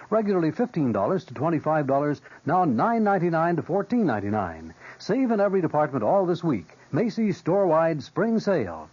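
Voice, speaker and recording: A man's voice: a little high, a little mellow, husky. A man working as a commercial announcer, reading radio ads in an upbeat, polished 1970s sales style. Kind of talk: 1970s radio advertising read. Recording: muffled old radio broadcast.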